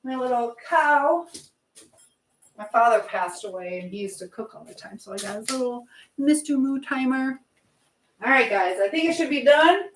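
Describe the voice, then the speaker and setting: A woman's voice: high-pitched, animated, moderate pace, a little hiss or hum, low-quality recording. An American woman chatting casually with livestream viewers from her home kitchen, reading out and answering their comments as she cooks. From United States. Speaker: livestream host. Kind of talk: casual live chat with viewers while cooking.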